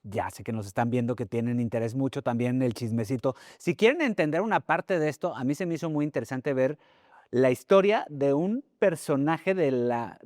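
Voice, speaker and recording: A man's voice: medium pitch, moderate pace, a little mellow, no room sound, clean studio sound. A man with a Mexican accent hosting a podcast, talking in a casual, conversational way. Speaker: podcast host.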